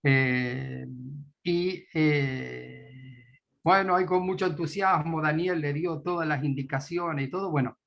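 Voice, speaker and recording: A man's voice: on the high side, takes it slowly, creaky, highs cut off. A man with an Argentine Spanish accent introducing a guest at a church meeting, hesitant, with many fillers. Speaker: meeting host.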